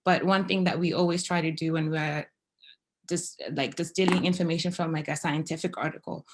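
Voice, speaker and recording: A young woman from Zimbabwe speaking as a panelist, explaining steadily with frequent pauses and fillers. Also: sits low, fairly even intonation, background noise. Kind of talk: panel discussion over video call.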